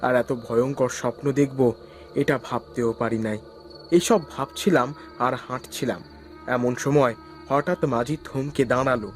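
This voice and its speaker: A man's voice: mid-range voice, moderate pace, soft background music. A young man narrating a cartoon ghost story in the first person. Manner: terrified pleading in the scene, calm when narrating.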